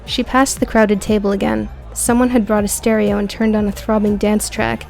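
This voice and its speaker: A woman's voice: mid-range voice, level tone, normal speed, creaky, a little mellow, faint music behind. A woman reading scripted audio book narration as the narrator.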